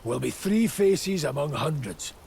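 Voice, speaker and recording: An actor playing a gruff, pragmatic soldier and leader in a video game, brisk and commanding with a gravelly voice. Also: male voice, on the high side, animated, darkish tone, a little hiss or hum. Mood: dry, pragmatic. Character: seasoned, pragmatic male soldier leading comrades, gruff.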